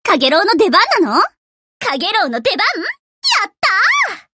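A woman's voice: very high voice, very animated, normal speed, heavy background noise. A woman voicing a spirited, confident teenage destroyer girl in an anime game, perky, assertive and a little bossy. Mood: excited. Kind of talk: Japanese anime game voice acting.

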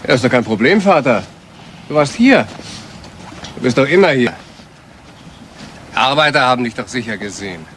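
A bitter, sardonic grown son , played by a male actor, in a crime radio play. He speaks at a middling pitch, needling with mocking questions, over clear background noise of vehicles. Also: lively intonation, moderate pace, pauses often, fairly bright tone, close to an everyday voice. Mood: bitter, taunting. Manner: mocking, insinuating, with rhetorical questions. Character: bitter, sardonic adult son of bourgeois parents.

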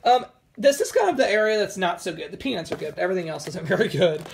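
A woman talking in a casual, rambling, informal way as a vlogger, with a creaky voice, recorded indoors at home on a handheld camera. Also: fairly low pitch, lively intonation, fast talker, a little mellow, slight background noise. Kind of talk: casual haul vlog chat. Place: indoors at home, over a table of groceries.

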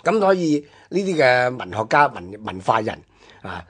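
A man's voice: mid-range voice, big pitch swings, moderate pace, breathy edge. A man with a Hong Kong Cantonese accent explaining things conversationally, as a commentator on a radio history programme.

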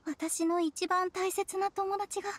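A woman's voice: very high-pitched, flat delivery, slow. A woman voicing a frail, wistful and earnest little girl in an anime game. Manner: soft-hearted, childlike, earnest.

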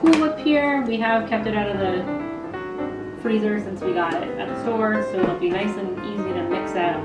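A woman's voice: medium pitch, normal speed. A young American woman casually and playfully hosting a recipe demonstration in a home kitchen, heard from a camera a few steps away. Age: young adult. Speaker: cooking vlog host. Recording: roomy, distant mic, loud music behind.

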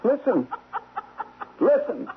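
An actor playing a guilt-ridden, crooked stockbroker in a radio drama, hesitant and highly emotional. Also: male voice, big pitch swings, slow pace, heavily acted. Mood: desperate, pleading. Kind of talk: 1970s radio drama acting. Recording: tinny, hissy old radio recording.

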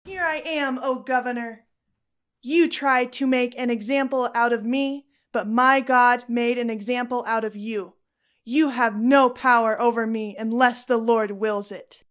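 A woman's voice: on the high side, steady tone, measured pace, fairly bright tone. A woman playing a devout, defiant young Roman martyr in an audio drama.

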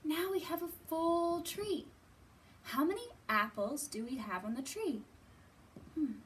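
A woman in her thirties presenting a children's storytime as a librarian, talking simply to small children with drawn-out words and thoughtful hums. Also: high voice, slight background noise, poor audio. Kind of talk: children's storytime teaching, read-aloud. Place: indoors in a library.

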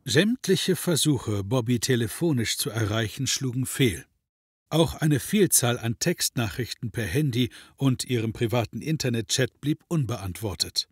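A man narrating a scripted comedy audio drama in a measured, mock-dramatic way. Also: medium pitch, lively intonation, slightly rough, no echo, studio quality.

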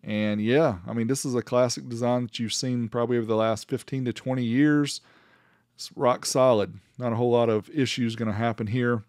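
A man's voice: medium pitch, steady tone, fairly quick, a little mellow, no room sound, clean studio sound. A man giving an informal, plainspoken review voice-over as the host of a product channel. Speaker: host reviewing car audio amplifiers.

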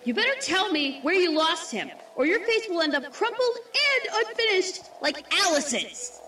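A hot-tempered young sister voiced by a woman in a comic dub, shrill and confrontational. Her very high voice leaps about, echoing from a little way off the mic. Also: very animated, moderate pace, fairly bright tone, soft background music, full character voice. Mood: furious. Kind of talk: amateur comic dub acting. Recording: echoey room, distant from mic.

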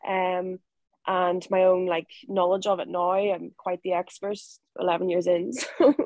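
A woman's voice: fairly low pitch, measured pace, dry recording. A young woman with a Northern Irish accent, chatting easily as an interview guest over a video call. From Northern Ireland. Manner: relaxed, chatty, laughs easily. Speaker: rugby player guest. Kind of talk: casual interview answers. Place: home room, video call.

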